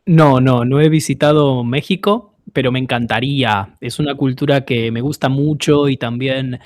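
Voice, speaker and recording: A man from Argentina with an Argentine accent, chatting as podcast co-host in a relaxed, reflective way. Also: mid-range voice, normal speed, dry recording. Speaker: podcast co-host, Spanish teacher. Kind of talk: casual chat.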